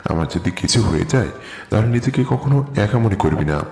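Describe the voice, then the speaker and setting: A man's voice: lowish voice, lively intonation, brisk, a little mellow. A man playing an affectionate, careworn old uncle in an audio story, speaking tenderly like a father. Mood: tender, solemn. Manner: tender, fatherly, addressing a younger man fondly. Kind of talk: dramatised audio story acting. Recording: echoey reverb with music behind.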